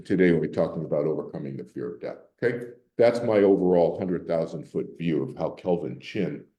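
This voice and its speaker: A man's voice: deep voice, warm tone, reverberant. An older man from Boston, a meditation teacher giving a candid, conversational public talk to a library audience. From Boston, Massachusetts. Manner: candid, conversational, repeats words when restarting. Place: library meeting room.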